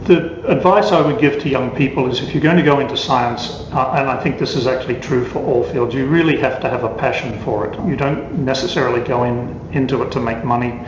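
A man's voice: medium pitch, brisk, hardly any pauses, dull audio, noisy background. Older man on an echoey remote line. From Australia. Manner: earnest, repeats words as he goes. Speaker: award-winning scientist guest. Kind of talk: remote interview conversation.

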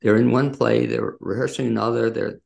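A man hosting a casual interview, asking questions in a halting, backtracking way. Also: mid-range voice, fairly even intonation, vocal fry, a little mellow, muffled recording. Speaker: interviewer and host.

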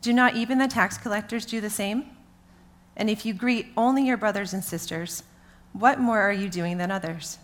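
Medium-pitched woman reading scripture. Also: normal speed. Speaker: scripture reader. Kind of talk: read-aloud Bible passage.

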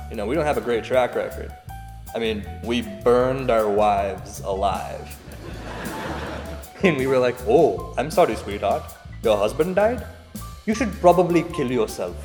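A man's voice: medium pitch, close to an everyday voice. A man playing a self-deprecating stand-up comedian, conversational and wry as he delivers a routine. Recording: echoey venue reverb, faint music.

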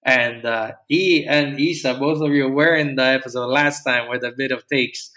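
A man hosts a casual football podcast and introduces his guests. He talks in a loose, rambling way, at an ordinary pitch. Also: fairly even intonation, normal speed, pushed and bright. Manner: loose, rambling, friendly questions. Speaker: podcast host.

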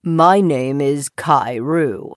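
A woman voicing a calm, cheerful male talking frog with an unhurried manner in a children's story. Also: low voice, dry recording, clean studio sound, pitched below the performer's own voice. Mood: friendly.

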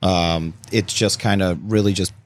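A man with a fairly low voice and an American accent chats as a guest on a talk show. He explains things informally and easily, like a bartender walking people through it, adding casual asides. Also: fairly even intonation, measured pace.

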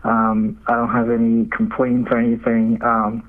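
A man's voice: medium pitch, completely flat delivery, moderate pace, narrow, tinny audio. A young man gives casual, hesitant interview answers as a seminarian guest over a remote call. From Nigeria. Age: young adult.

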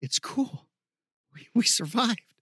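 A man voicing an excitable young male friend in an audiobook, with slangy, casual, emphatic speech. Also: extremely high pitch, slow pace, breathy edge, no room sound, studio quality, pitched above the performer's own voice, heavily acted. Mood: relieved, amazed.

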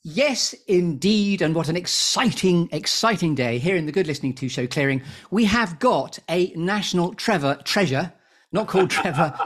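Playful, effusive male podcast host. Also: a little high. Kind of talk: interview with a scripted-sounding show intro.

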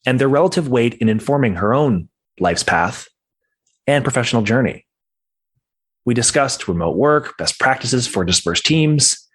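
A man's voice: mid-range voice, slightly rough. A man hosting a podcast, reading a scripted introduction and then chatting with his guest. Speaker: podcast host. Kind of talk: podcast interview.

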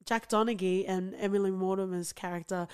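A woman's voice: medium pitch, steady tone, fairly quick, darkish tone, clear, resonant tone. A young woman co-hosting a podcast, chatting casually about a TV show, laughing often and using lots of fillers. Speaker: podcast co-host.

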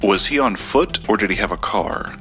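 A guarded but loyal investigator in a mystery audio drama. His voice is deep with a hard, bright edge, and his delivery is dry and understated over soft music. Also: lively intonation, normal speed. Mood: reserved, probing.

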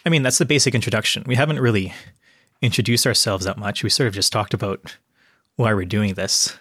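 A man's voice: on the low side, a little fast, a bit bright, husky, no room sound, clean studio sound. A Canadian man hosting a casual podcast, rambling with many fillers. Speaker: podcast host. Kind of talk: casual chat.

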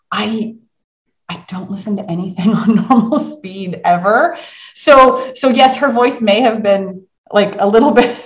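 A middle-aged woman hosting a book club as a coach, chatting casually in an animated, encouraging and affirming way. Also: medium pitch, highs cut off. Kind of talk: casual chat in a live online book club. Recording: roomy, echoing sound.

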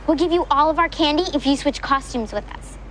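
Wisecracking preteen girl, very high voice, scheming, TV sitcom, background noise. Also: brisk, a bit bright. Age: young girl, preteen. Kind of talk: sitcom acting with live audience. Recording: noisy TV sitcom with studio audience.

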